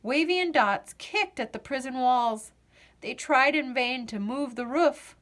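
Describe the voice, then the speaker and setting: A woman reading a children's picture book aloud as storyteller, in an expressive, deliberate manner. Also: high-pitched.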